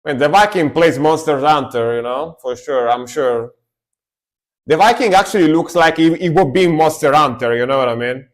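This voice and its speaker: A man's voice: on the high side, expressive, normal speed. Chatty man reacting to metal songs. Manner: chatty, loose, hums and mimics riffs. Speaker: music reaction streamer. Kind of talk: casual reaction commentary.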